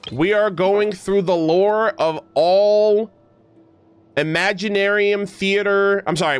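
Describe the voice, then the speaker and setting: A man's voice: a little high, clean tone. A man streaming video games, chatting casually with viewers in informal, teasing gamer slang. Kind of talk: casual livestream chat with viewers.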